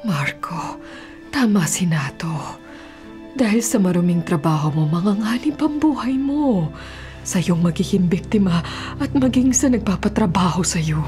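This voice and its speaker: A woman's voice: medium pitch, lively intonation, normal speed, warm tone, soft background music, natural voice. An actress playing an anguished, imploring wife of a hitman in a Filipino radio drama, full of emotion. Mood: worried, hushed. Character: anguished, pleading wife of a hitman, adult woman.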